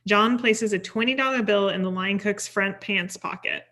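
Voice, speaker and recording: A woman narrates a screenplay at a table read. Her voice is bright and forceful at a medium pitch, and she delivers scene headings and actions as scripted narration. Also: moderate pace. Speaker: stage directions reader.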